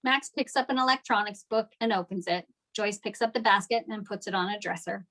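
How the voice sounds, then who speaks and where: A woman's voice: mid-range voice, brisk, fairly bright tone. A woman narrating scripted stage directions at a table read.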